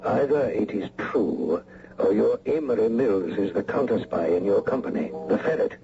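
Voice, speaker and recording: A suspicious, commanding spymaster speaks formally and guardedly. His low voice is mellow but moves expressively, on a slightly noisy old recording. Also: expressive, normal speed, creaky. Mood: coolly commanding. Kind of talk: 1980 radio drama acting. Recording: muffled old radio recording, faint noise.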